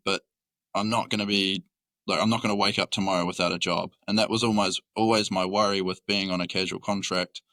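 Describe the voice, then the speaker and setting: A man's voice: low voice, flat delivery, fairly quick, pushed and bright, no room sound, rough recording. A young man from New Zealand with a Kiwi accent, a podcast guest talking openly and thoughtfully about work. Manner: open, reflective, many fillers. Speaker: guest, employee. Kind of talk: casual podcast chat.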